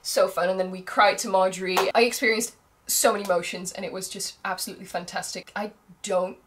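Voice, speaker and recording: A woman's voice: medium pitch, steady tone, a little fast. A young woman whose first language is Dutch, gushing in a casual vlog chat, laughing often, her voice strained from screaming. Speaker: vlogger.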